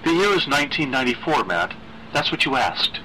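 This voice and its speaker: A man's voice: medium pitch, big pitch swings, bright, forceful tone, a little hiss or hum, voice pushed up, low-quality recording. A man reading a horror story as an uneasy married mother who forces polite, brittle cheer. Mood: uneasy, flustered. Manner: brittle, politely chirpy, halting.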